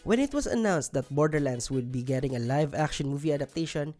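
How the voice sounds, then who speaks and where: Low-pitched, lively woman narrating over music. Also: fast. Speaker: video narrator. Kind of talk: scripted countdown commentary. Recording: music bed under voice-over. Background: background music.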